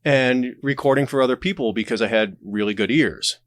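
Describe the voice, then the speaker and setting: A man's voice: mid-range voice, brisk, no echo, clean studio sound. A man speaking as a podcast guest in casual, reflective conversation. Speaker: podcast guest, audio drama creator.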